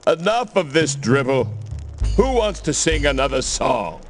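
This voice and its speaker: A man's voice: a little high, big pitch swings, measured pace, slight background noise. A man voicing a surly, boastful orc leader in a cartoon fantasy game, with a blustering, declamatory delivery. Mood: dismissive.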